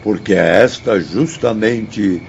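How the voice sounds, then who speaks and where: An actor with a Brazilian accent playing a learned, courteous spirit teacher in a radio drama, speaking in a formal, measured, didactic way. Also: male voice, lowish voice, vocal fry. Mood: solemn, didactic. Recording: dull audio, faint noise, night crickets.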